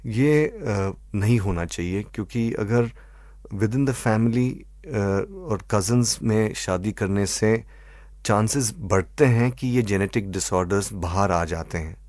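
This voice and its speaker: Low-pitched Indian man explaining methodically. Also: a little mellow, no echo. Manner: measured, methodical, numbering points, mixing in English phrases. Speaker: eye doctor, expert guest. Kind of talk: explanatory interview answers.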